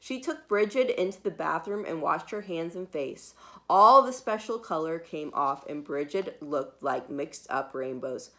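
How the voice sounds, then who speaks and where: A woman reads a picture book aloud to young children. Her voice is bright and swings freely up and down. She is expressive and emphatic, leaving dramatic pauses as she tells the story. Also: low-pitched. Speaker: preschool teacher reading a children's book aloud.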